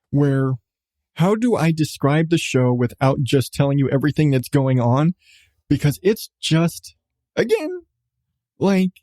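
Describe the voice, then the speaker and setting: A man hosting a podcast, giving a casual, rambling review with halting starts, repeated phrases and an irreverent, sweary manner. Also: fairly high pitch, a little mellow, no echo, studio quality.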